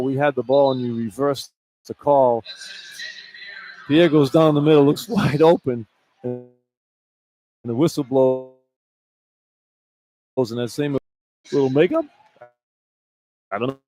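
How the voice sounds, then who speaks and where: A man's voice: medium pitch, dark tone, rough recording. A man giving informal, opinionated color commentary on a live basketball radio broadcast. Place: indoor college basketball arena.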